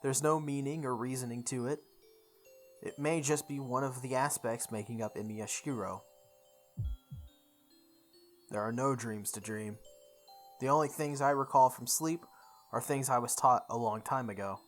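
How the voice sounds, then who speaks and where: A let's play host reading a visual novel's story aloud in a deliberate way, with occasional stumbles. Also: mid-range voice, steady tone. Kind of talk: read-aloud narration of a game's story. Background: background music.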